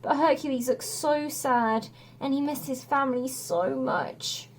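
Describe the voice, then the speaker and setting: A woman reading a children's story aloud as a teacher would to a class, with expressive narration. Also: sits high, unhurried, a little hiss or hum.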